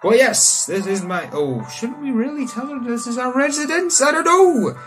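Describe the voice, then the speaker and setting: Young man, let's player, lively swooping intonation, casual rambling delivery, joking asides, light background music. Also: extremely high pitch, normal speed. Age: young adult. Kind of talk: reading a visual novel aloud with casual commentary. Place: small room indoors.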